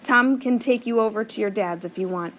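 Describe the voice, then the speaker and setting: A woman's voice: fairly high pitch, brisk, fairly bright tone, pitched above the performer's own voice, close to an everyday voice. A woman reading an audiobook, voicing a busy, loving mother who sounds breathless and tender on the phone.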